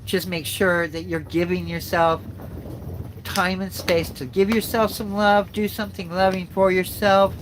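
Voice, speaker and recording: A man with a blocked, stuffy nose from a cold leads a spiritual livestream, with a slightly high voice. He talks slowly and haltingly, full of fillers and restarts, and he reads passages aloud in the same unhurried way. A faint background noise sits behind him. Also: flat delivery. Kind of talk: slow reflective spiritual talk and reading aloud.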